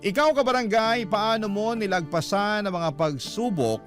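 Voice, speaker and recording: A man hosting a radio storytelling show, reading a scripted letter in a measured, reflective, dramatic way. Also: high-pitched, lively intonation. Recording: loud music bed under voice. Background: prominent instrumental music.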